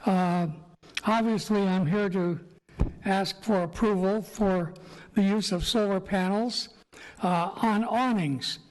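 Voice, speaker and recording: A man with a sore throat and a slightly raspy voice, giving a halting public presentation to a city council. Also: high-pitched, fairly even intonation. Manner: slow, halting, many fillers. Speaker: applicant speaking at council meeting. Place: council chamber. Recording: echoey council chamber.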